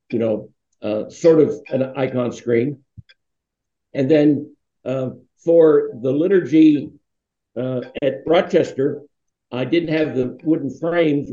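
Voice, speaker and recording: A man's voice: medium pitch, warm tone. An American man in his sixties reminiscing in a conversational interview, telling anecdotes in a rambling way. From Pittsburgh, United States. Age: 60s. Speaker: interview guest, foundation founder. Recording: dull, roomy, echoing sound.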